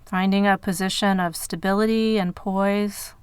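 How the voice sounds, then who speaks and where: Female meditation narrator, medium register, very pure resonant tone, dry studio-like sound, measured delivery with space between phrases. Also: medium pitch, steady tone, clear, resonant tone, no echo. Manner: measured, unhurried phrasing with pauses. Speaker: meditation guide.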